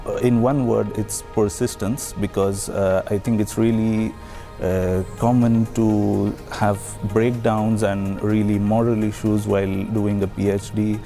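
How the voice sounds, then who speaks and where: Guy from india, low mellow voice with some gravel, answers slow with lots of uhs. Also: flat delivery, faint music behind. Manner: measured, with many pauses and fillers. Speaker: PhD researcher. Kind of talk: recorded interview answers.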